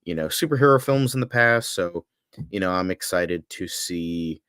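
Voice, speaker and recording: A man's voice: deep voice, lively intonation, moderate pace, fairly bright tone, smooth, dry recording, studio quality. A man co-hosting a podcast, chatting casually as an opinionated fan in a loose, rambling way full of filler phrases. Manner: rambling, peppered with "like" and "you know". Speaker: podcast co-host.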